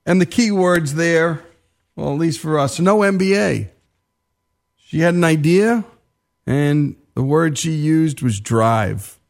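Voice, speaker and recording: A man's voice: on the high side, slow pace, gravelly. A man hosting a radio talk show, narrating in an emphatic, conversational way with frequent asides.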